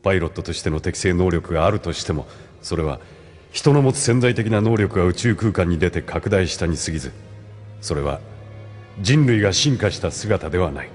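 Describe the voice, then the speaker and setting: An actor playing a grave, authoritative older man who lectures in a measured, formal way in an audio drama. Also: deep voice, animated, soft background music. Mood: solemn, didactic. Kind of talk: Japanese audio drama acting.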